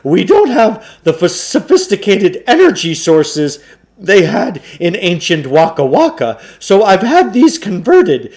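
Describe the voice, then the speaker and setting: A man's voice: high voice, a little mellow, pitched above the performer's own voice, heavily acted. A man voicing a chatty, folksy shopkeeper huckster in a storybook reading, with eager, exclamatory sales patter. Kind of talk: children's storybook character voice.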